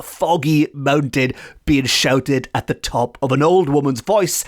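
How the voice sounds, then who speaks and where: A man from Wales who speaks Welsh, hosting a folklore podcast from home and telling the tale with playful asides. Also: on the high side. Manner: lively, animated storytelling with playful asides. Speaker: podcast host. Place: home in a residential area.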